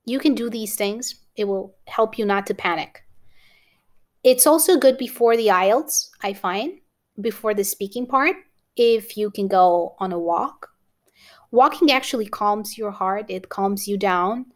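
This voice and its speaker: A woman's voice: medium pitch, a little slow. A woman with a Romanian accent teaching an exam-prep lesson to camera, encouraging and often checking in with "okay?". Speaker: English teacher.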